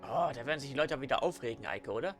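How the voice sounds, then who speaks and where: A man's voice: mid-range voice, expressive, very fast. A man with a German accent giving casual, rambling let's play commentary with teasing banter, game music behind him.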